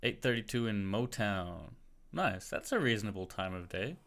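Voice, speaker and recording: A man's voice: lowish voice, expressive, moderate pace, a bit bright. An Australian man with an American-sounding accent, casually talking to himself and greeting viewers while he searches on his computer during a livestream. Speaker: livestream host. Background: keyboard typing and clicking.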